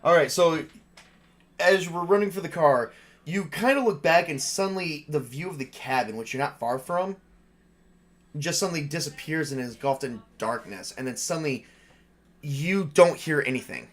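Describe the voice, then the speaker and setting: A man's voice: fairly high pitch, expressive, moderate pace. A man narrating a tabletop horror game as game master in a casual, improvised and informal way. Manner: informal, improvising with mild swearing.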